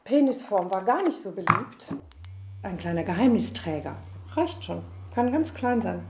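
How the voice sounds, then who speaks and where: An older woman answers interview questions in a frank, matter-of-fact way. Her voice sounds mellow and warm. The room around her adds a noticeable echo. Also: medium pitch, sing-song, normal speed, a little hiss or hum. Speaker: former shop owner. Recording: echoey room.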